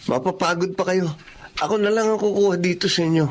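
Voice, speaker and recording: A man acts out a jittery young man in a radio drama, his voice pitched high for a man and his manner politely evasive. Faint noise lies under the lines. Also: fairly even intonation, a little fast, lightly acted. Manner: polite but evasive, uses ho. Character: young man, nervous and evasive, turns desperate. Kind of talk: Filipino radio-style drama acting.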